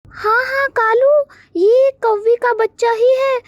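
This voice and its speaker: Lady doing a cartoon crow wife, really high voice, eager and fretful, dry recording. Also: fairly even intonation, slow pace, dry recording, dull audio. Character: female bird accomplice, wife of the thieving crow. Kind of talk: animated moral story dialogue.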